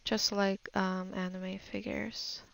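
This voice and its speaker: A woman narrates a digital art tutorial in an unscripted voice-over. Her delivery is slow and hesitant, with a creaky, fried edge to the voice. She muses to herself as she works through each step. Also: medium pitch, level tone, fairly bright tone. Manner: hesitant, musing, thinking aloud. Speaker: digital artist giving a tutorial. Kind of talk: unscripted voice-over of a painting process.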